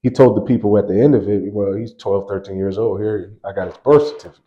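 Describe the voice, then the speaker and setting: Laid-back man with a low voice. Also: quick pace, muffled recording. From grew up on a US army base in Germany. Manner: relaxed, laughs often, lots of "like" and restarts. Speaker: college basketball assistant coach, guest. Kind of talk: casual interview storytelling.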